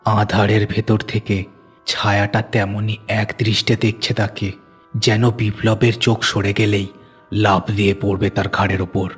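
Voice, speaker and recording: A male narrator tells a horror tale in a fairly low voice. His phrasing is deliberate and suspenseful, in a dramatic storytelling style, with music underneath. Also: little pitch movement, breathy edge.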